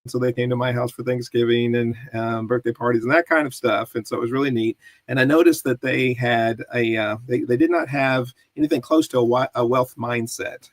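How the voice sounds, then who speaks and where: A man's voice: medium pitch, no room sound. Upbeat guy hosting a video call, chatty, laughs a lot, dry close sound. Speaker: podcast host. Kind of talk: conversational interview.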